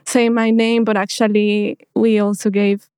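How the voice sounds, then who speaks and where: A woman in her thirties from Mexico, a guest in a casual interview, who talks hesitantly with many restarts and soft laughs. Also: mid-range voice, little pitch movement, unhurried, clean tone, no room sound, clean studio sound.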